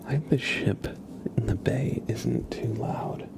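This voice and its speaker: Man, soft whisper, creaky edges, gentle casual ASMR chat, live streamer. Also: medium pitch, takes it slowly. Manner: gentle, hushed, chatty.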